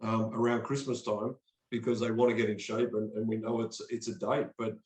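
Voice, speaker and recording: A man's voice: fairly low pitch, little pitch movement, brisk, darkish tone. Earnest motivational man in an echoey room. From Australia. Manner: earnest, motivational, repeats words when starting phrases. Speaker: podcast guest, coach. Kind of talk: conversational interview.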